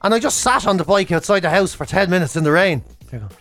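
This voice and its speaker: A man's voice: sits high, lively intonation, fast talker, a bit bright, soft background music. A man with an Irish accent co-hosting a comedy podcast with casual, mock-aggrieved, sweary banter. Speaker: podcast and radio co-host.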